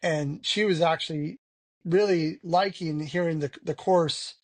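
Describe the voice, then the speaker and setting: A man's voice: fairly high pitch, steady tone, unhurried, dry recording. A man talking frankly as an interview guest, rambling with lots of "you know" fillers. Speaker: podcast guest. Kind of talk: candid conversational interview.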